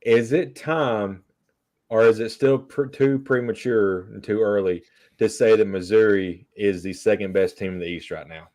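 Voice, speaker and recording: A man's voice: fairly low pitch, normal speed, a little mellow. A man co-hosting a podcast in casual chat, with an eager, easygoing manner. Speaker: podcast co-host.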